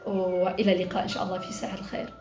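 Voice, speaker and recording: A woman's voice: fairly low pitch, little pitch movement, very fast, echoey room, music in the background. A woman in her thirties with a Moroccan accent, a coach explaining things step by step to parents as she writes on a flipchart. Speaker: positive discipline coach. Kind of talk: recorded talk to parents. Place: small office, indoors.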